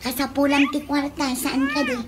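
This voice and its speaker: An actress playing a nosy, blunt younger sister in a comedy radio drama, with an Ilocano accent. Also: female voice, high voice, big pitch swings, normal speed. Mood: teasing, blunt. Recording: noisy radio drama recording.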